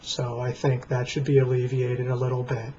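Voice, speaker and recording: An American man rambling casually and deadpan to camera in a plain, flat voice. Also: mid-range voice, moderate pace. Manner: deadpan, dry. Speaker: vlogger. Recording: dull lo-fi webcam sound, faint noise.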